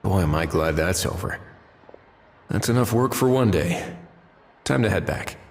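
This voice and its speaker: A cool, low-voiced commanding warden. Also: male voice, lively intonation, a little hiss or hum. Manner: cool, measured, dryly commanding. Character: calm, authoritative male warden. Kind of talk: video game cutscene dialogue.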